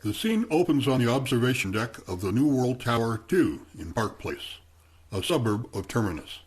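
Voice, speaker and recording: A man narrating the scenes of a radio play in a measured, descriptive way. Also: medium pitch, big pitch swings, a little hiss or hum.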